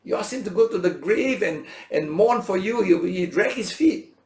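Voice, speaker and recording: A man's voice: sits high, expressive, normal speed, gravelly, a little mellow. A man giving an informal Bible lesson as a teacher, conversational, posing rhetorical questions and checking in with his listeners. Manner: dramatizes imagined speakers' words in everyday language. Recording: roomy, echoing teaching space.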